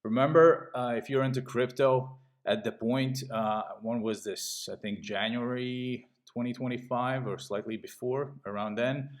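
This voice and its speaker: A middle-aged man from Latvia giving a casual sales pitch while walking through trading charts. He talks in a pushy way and keeps checking in with "okay?". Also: fairly even intonation, unhurried, few pauses. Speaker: trading channel host.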